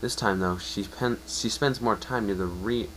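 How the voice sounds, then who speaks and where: A man's voice: lowish voice, a bit bright, slight background noise, low-quality recording. A man narrating a fan story as an amateur reader, often stumbling and correcting himself. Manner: halting, self-correcting delivery.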